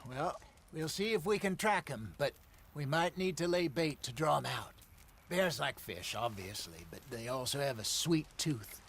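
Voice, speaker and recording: An actor playing a wry, seasoned older outlaw hunter in a video game, with an American accent and a measured, folksy way of talking. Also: male voice, a little high, lively intonation, gravelly, a bit bright, breathy edge, full character voice. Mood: calm, instructive. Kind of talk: dramatic video game dialogue.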